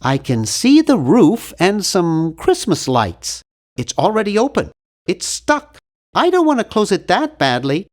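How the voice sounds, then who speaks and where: A bookish, timid young man from a cartoon adventure game. His voice sits high for a male and swings widely in pitch. He speaks with prim, pedantic care, fussing over every detail. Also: wildly swooping pitch, a little slow, heavily acted. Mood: fussy, doubtful. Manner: pedantic, prim, fussy delivery.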